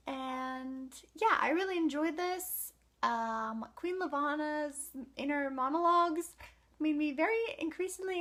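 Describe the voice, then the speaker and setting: High-voiced woman chatting about books. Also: fairly bright tone. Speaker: book vlogger. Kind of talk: casual to-camera book review chat.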